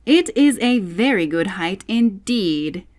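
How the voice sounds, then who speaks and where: A woman's voice: mid-range voice, lively intonation, takes it slowly, darkish tone. A woman voicing a curt, irritable, contradicting male caterpillar for a storybook, with a terse, decided manner. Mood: angry.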